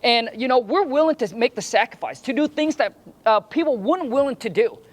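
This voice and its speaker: A man's voice: very high-pitched, lively intonation, a little fast. A man from Vietnam, giving motivational business advice to camera in an earnest way, often tagging his points with "right?" and "my friend.".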